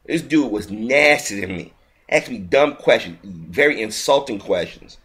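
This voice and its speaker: A man's voice: lowish voice, expressive, measured pace, fairly bright tone. A man giving a heated, indignant video commentary, often restarting his sentences mid-thought. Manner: heated, indignant, repetitive ranting with frequent restarts.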